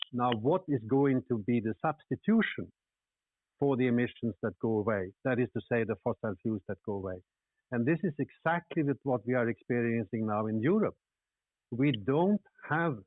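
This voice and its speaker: A man's voice: mid-range voice, no echo. A man speaking in an online panel discussion, emphatic, often stammering and restarting his words. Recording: tinny phone-like call audio.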